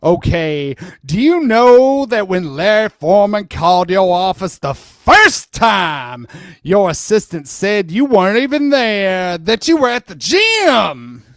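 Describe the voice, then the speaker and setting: Guy acting as a lawyer grilling a witness in a depo reading, high voice, takes his time, dry close sound. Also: sing-song, takes it slowly, no room sound, exaggerated voice. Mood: probing. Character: male lawyer questioning a witness in a deposition, insistent. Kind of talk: dramatic reading of a deposition transcript.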